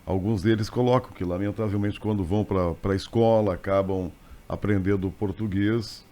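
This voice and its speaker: A man's voice: fairly low pitch, steady tone, normal speed, warm tone. A man from southern Brazil hosting a radio interview, asking questions and affirming with short, interested replies. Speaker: radio host. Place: radio studio.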